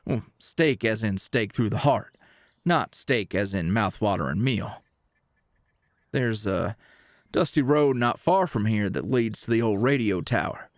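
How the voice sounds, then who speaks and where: An actor playing a friendly, awkward, protective rancher with a Southern US accent and hesitant, stammering, folksy speech in an ASMR roleplay. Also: male voice, lowish voice, lively intonation, a little slow, dry recording, clean studio sound. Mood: helpful, conspiratorial.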